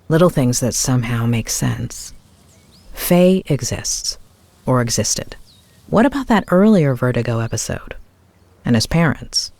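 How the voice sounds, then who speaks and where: An actress playing a composed, analytical female psychotherapist in a video game drama, speaking in a measured, reflective way. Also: sits low, a little mellow, dry recording, heavily acted. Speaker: therapist, doctor. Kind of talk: video game drama acting, inner monologue and dialogue.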